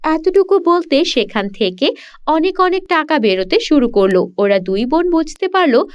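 A woman's voice: sits high, very animated, moderate pace. A woman reading a scripted children's story as its narrator. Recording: dull lo-fi sound.

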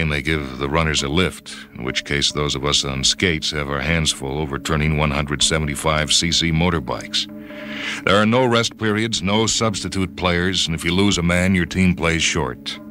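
A man's voice: deep voice, bright, forceful tone. A man voicing a tough, boastful star of a brutal sport, telling his story with intense swagger in a dramatic radio reading. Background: background music.

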